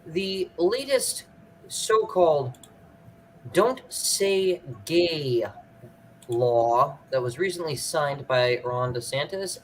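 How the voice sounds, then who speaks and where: A man co-hosting a reaction video, introducing the segment slowly and deliberately. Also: fairly high pitch, a bit bright, slight background noise, rough recording. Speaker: co-host.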